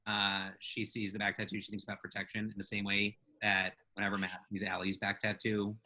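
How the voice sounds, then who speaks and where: A man's voice: fairly low pitch, monotone, fairly quick, bright, forceful tone. A man co-hosting a podcast, chiming in with casual banter and asides. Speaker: podcast co-host.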